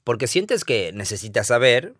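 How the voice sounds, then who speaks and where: A man's voice: medium pitch, very animated, normal speed, a bit bright, no room sound. A man chats in a casual conversation, his voice rising and falling a lot as he talks. He sounds upbeat and encouraging and laughs easily. The recording is dry and close to the mic. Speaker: podcast co-host.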